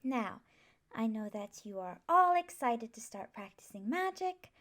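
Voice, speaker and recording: Woman doing a kind magic professor for asmr roleplay, higher voice, really slow n patient, explains stuff to first years. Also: sing-song, a bit bright. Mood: calm, instructive. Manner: measured, patient, instructive, reassuring. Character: gentle, scholarly female magic professor addressing first-year class. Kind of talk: ASMR roleplay, classroom lecture.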